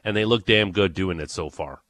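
Man, Ontario accent, sports radio host, lowish voice, dry close studio sound, excitable banter. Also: moderate pace, dry recording. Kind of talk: morning sports radio chat. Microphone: studio microphone.

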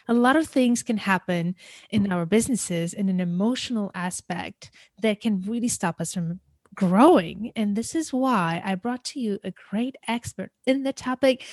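Upbeat woman podcast host, close dry mic, mid pitch. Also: darkish tone, dry recording. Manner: upbeat and enthusiastic. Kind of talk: podcast interview.

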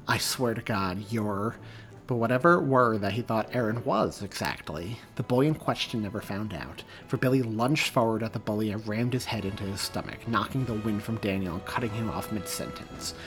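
A man's voice: fairly low pitch, a little fast, hardly any pauses, fairly bright tone, soft background music. Man reading his own story aloud. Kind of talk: audiobook narration.

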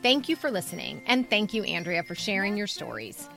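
A woman with a bright, crisp-edged podcast host voice at a middling pitch. She talks in a casual co-host manner or reads show notes to listeners, with faint music at times. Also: big pitch swings, a little fast. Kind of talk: casual co-host chat and scripted show outro.

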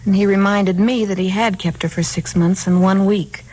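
A woman's voice: mid-range voice, fairly even intonation, moderate pace, a little mellow, lightly acted. An actress with an American accent playing a polite, hesitant, sorrowful married woman in a 1950s radio drama. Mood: earnest. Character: soft-spoken, hesitant married woman pleading her sorrowful case. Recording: noisy 1950s radio recording.

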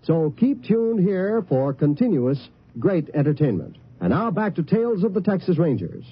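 A man's voice: sits high, big pitch swings, moderate pace, breathy edge. A man with an American accent reading a scripted promo as a 1950s radio network announcer. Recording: muffled old radio recording.

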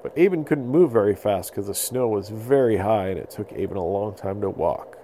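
A man telling an improvised bedtime story to children in simple, explanatory phrases with pauses. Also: lowish voice, lively intonation, dark tone, slight background noise. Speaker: podcast storyteller.

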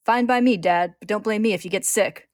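A woman's voice: lowish voice, brisk, no echo, studio quality, natural voice. A woman voicing a cocky, defiant male homunculus with a snarling, taunting manner in a dramatic story reading. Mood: manic bravado. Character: cocky, defiant male homunculus, sneering, reckless rebel son.

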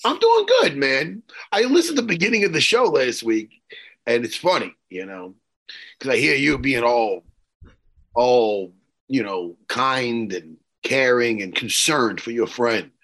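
A man in his forties with a bright, gravelly, raspy voice that swings widely in pitch as he teases his friends. He talks in loose, ribbing radio banter into a studio mic. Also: unhurried, low-quality recording. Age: 40s. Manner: teasing, animated ribbing. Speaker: radio co-host.

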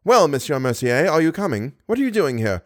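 A man's voice: fairly high pitch, sing-song, a little fast, no room sound, clean studio sound, pitched above the performer's own voice. A man voicing a frantic, harried male stage manager who roars and fumes in exasperation. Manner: roaring, exasperated, urgent.

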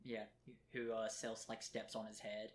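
A man's voice: on the low side, completely flat delivery, normal speed. A man co-hosting a casual podcast from a kitchen table at home, rambling and joking as he talks. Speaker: podcast co-host. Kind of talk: casual chat. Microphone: home podcast mic.

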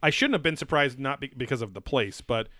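A man's voice: medium pitch, expressive, a little fast, pushed and bright, no room sound, clean studio sound. A man chatting casually as a podcast host, rambling and swearing freely, with lots of fillers and restarts. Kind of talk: casual chat reviewing a TV show.

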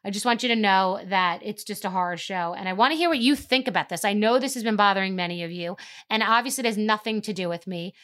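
A woman's voice: mid-range voice, quick pace, fairly bright tone. Emphatic woman podcast host. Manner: emphatic, rising to incredulous outbursts. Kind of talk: casual monologue.

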